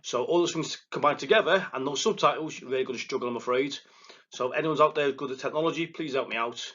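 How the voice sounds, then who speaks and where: A man with a thick Liverpool accent giving a recorded lecture as a psychotherapist, excitable and quick, often stumbling and restarting his words. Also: mid-range voice, lo-fi sound. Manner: fast, excitable delivery with verbal stumbles and restarts. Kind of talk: recorded lecture to counselling students. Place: presenting alone without a live audience.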